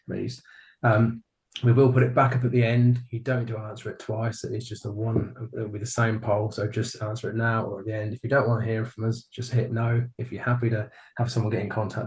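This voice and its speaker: A man hosting a webinar over a video call, hurried and apologetic as he cuts in. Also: on the low side, little pitch movement, a little mellow, rough recording. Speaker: webinar host. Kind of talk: webinar housekeeping.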